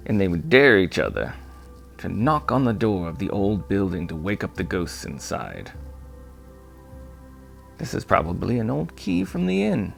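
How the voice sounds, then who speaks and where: A kindly old shopkeeper played by a man reading an audiobook, very gravelly and slow. He speaks with gentle, old-fashioned courtesy, his pitch rising and falling like a born storyteller's. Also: very animated, faint music behind, heavily acted. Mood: storytelling, mysterious. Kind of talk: audiobook character voice.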